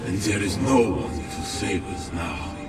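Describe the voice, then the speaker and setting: An actor playing a devoted warrior giving a solemn, halting eulogy in a game cutscene. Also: male voice, deep voice. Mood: grieving, halting. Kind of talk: dramatic eulogy speech. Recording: echoey hall, distant, music over it.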